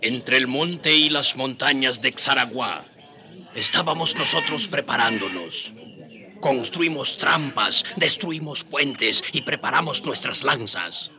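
A man's voice: mid-range voice, lively intonation, slow, pushed and bright, full character voice. A man playing a proud native rebel, telling his story with wry confidence in a radio drama.

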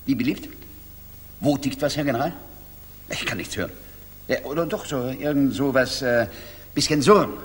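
An actor playing an obsequious, fussy restaurant host who flatters his guests in a 1960s radio drama. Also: medium pitch, normal speed, long pauses, close to an everyday voice. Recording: echoey, hissy 1960s mono radio play.

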